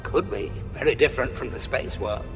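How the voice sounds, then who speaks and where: An actor playing a curious, observant prisoner, a well-travelled time traveller, in an audio drama with a thoughtful manner. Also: male voice, mid-range voice, a little fast, in a large hall, over music, close to an everyday voice.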